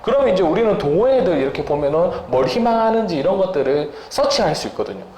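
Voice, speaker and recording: High-pitched, very animated man interviewing in echoey room. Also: fast, slight background noise. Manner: long-winded, explanatory, advising. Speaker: interviewer and host. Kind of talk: conversational business interview. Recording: roomy, echoing indoor sound.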